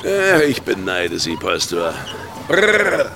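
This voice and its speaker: A man's voice: fairly low pitch, wildly swooping pitch, slow pace, exaggerated voice. Mocking worldly baron in a radio play, draws his words out, swoops all over, music behind. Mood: teasing. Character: worldly, mocking, theatrical baron, a male house guest. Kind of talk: radio drama acting.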